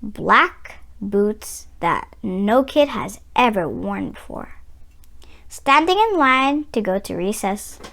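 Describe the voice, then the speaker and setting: A woman reading a children's picture book aloud as host, with expressive, dramatic and deliberate phrasing. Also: mid-range voice, gravelly. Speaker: read-aloud channel host, storyteller.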